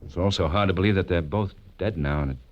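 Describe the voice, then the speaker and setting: Actor as an earnest, anxious radio client. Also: male voice, deep voice, brisk, slight background noise, close to an everyday voice. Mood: subdued, relieved. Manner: hesitant, earnest. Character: anxious but decent male blackmail victim, a client. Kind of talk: 1940s radio crime drama acting. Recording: dull vintage radio recording.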